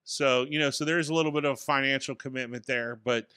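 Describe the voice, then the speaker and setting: Bright, crisp-voiced man on a podcast. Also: mid-range voice, fairly even intonation, a little fast, dry recording, studio quality. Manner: easygoing, self-deprecating storytelling. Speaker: podcast co-host. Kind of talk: casual tech podcast chat. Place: small home studio with acoustic panels. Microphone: studio mic on boom arm.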